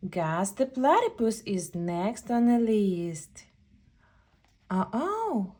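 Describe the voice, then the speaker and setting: A woman reading a children's picture book aloud, telling the story in an expressive, deliberate way for young kids. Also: fairly high pitch, husky.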